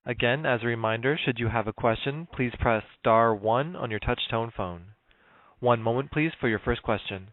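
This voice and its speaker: Male conference operator, medium pitch, measured scripted delivery, tinny phone audio. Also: no echo. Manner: formal, measured. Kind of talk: scripted announcements. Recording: dry, thin phone line.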